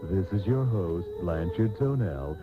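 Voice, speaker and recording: A man's voice: low-pitched, expressive, unhurried. A man playing a pompous, mock-grand host of a parody radio soap, with florid theatrical delivery. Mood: mock-solemn. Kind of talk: parody soap opera announcing. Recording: tinny old radio tape. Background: music.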